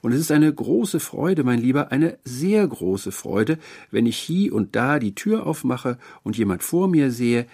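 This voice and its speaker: A man's voice: medium pitch, very animated, a little slow, vocal fry, a little mellow, breathy edge, voice pushed up, full character voice. A man voicing a sweet, doting but eerie old landlady, gushing and overly polite, in an audiobook. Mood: gushing, coy. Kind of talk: audiobook character voice.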